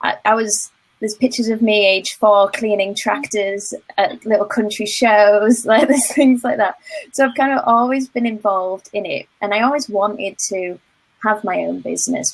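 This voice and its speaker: A woman's voice: mid-range voice, steady tone, measured pace, creaky, rough recording. Chatty young northern English woman. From northern England. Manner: chatty, laughs often. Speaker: guest, procrastination coach. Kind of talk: casual interview.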